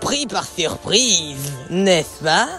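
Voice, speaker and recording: A woman's voice: fairly low pitch, expressive, drawn-out words, music in the background, voice pushed up. A woman voicing a pompous, theatrical male snake demon villain with grandiose, old-fashioned formal speech in a fan dub.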